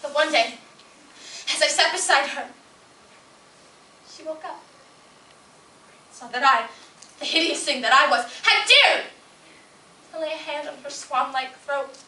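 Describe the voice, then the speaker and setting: A woman performing a dramatic stage monologue as a scarred, disturbed daughter yearning for her mother, slow and hushed with sudden swells. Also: high-pitched. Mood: anguished. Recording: distant, echoey room with faint noise.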